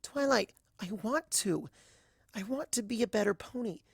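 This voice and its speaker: A woman voicing a meek, heartbroken young female pony in a storybook reading, earnest, apologetic and halting in her speech. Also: fairly high pitch, expressive, gravelly, husky, no echo, clean studio sound, pitched above the performer's own voice, exaggerated voice. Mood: desperate pleading. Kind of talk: dramatised fan fiction reading.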